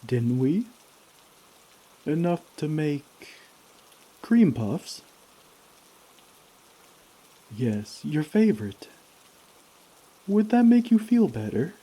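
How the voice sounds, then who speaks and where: A man playing a gentle, caring friendly giant in an ASMR comfort roleplay, with tender, old-fashioned, unhurried phrasing. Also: on the high side, very animated, husky, full character voice. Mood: soothing, tender.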